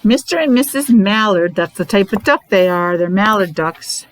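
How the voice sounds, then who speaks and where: A woman with a mid-pitched voice reads to young children like a classroom teacher. She breaks the reading up with short, simple explanations and repeats herself for clarity. There is faint noise behind her. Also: animated, moderate pace, rough recording. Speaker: preschool or special-needs teacher. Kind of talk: read-aloud to young children with explanatory asides.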